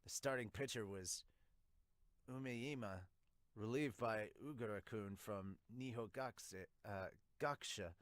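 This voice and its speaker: A man's voice: lowish voice, a little slow, fairly bright tone, no echo, studio quality. A man hosting a casual manga reaction, reading aloud and interjecting informally. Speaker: podcast host and manga reviewer.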